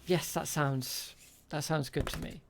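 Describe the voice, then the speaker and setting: A game master with a creaky voice and a hesitant delivery, improvising tabletop roleplay as a shopkeeper. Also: mid-range voice, steady tone, unhurried, slight background noise.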